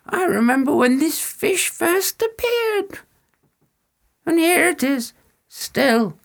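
A man voicing an old village woman who speaks slowly and with certainty, reminiscing. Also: extremely high pitch, big pitch swings, vocal fry, voice pushed up, full character voice. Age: older. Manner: slow, reminiscing.

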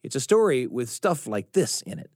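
A man's voice: mid-range voice, very animated, moderate pace, darkish tone, dry recording, studio quality. A man hosting a radio story hour, reading a scripted introduction and stretching out key words for emphasis. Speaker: radio show host.

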